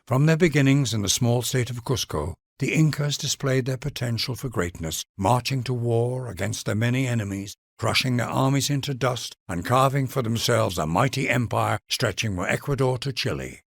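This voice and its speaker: A man's voice: mid-range voice, moderate pace, vocal fry, hardly any pauses, no room sound, clean studio sound. A man delivering scripted dramatic narration for a video game, addressing a ruler in a solemn, grandiose and formal manner. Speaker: narrator of game leader introductions.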